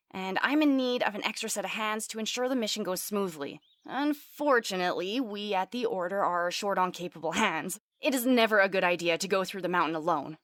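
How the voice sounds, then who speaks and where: A woman's voice: medium pitch, a bit bright, no echo, clean studio sound, natural voice. A woman playing a polite, chatty elven sorceress and scholar in a fantasy audio drama. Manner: chatty, courteous, formal wording.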